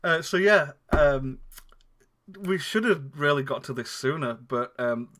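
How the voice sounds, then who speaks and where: A man, co-hosting a relaxed chat. His voice is bright and forceful, and he talks in loose, rambling bursts broken up by laughter. He sounds like he is sitting at a desk mic. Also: a little high, measured pace. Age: 30s. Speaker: co-host. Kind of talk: casual chat.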